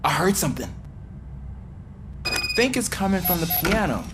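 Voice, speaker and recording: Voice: mid-range voice, very animated, moderate pace, natural voice. An actor playing an eager, chatty young rookie police officer in a video game, talking in a casual, conversational way. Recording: noisy game ambience behind.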